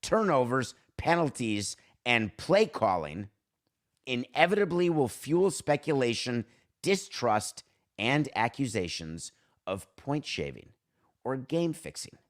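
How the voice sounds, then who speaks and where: A man's voice: mid-range voice, very animated, slow. A man hosting a solo sports talk show, giving opinionated commentary in an emphatic, sardonic way with rhetorical questions. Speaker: sports talk podcast host.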